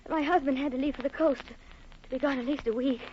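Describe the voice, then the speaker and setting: A woman playing an anxious young wife in an old radio melodrama. Her voice is very high and theatrical, on a dull recording with faint hiss. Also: fairly even intonation, fast talker, lightly acted. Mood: shaken. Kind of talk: 1940s radio drama acting.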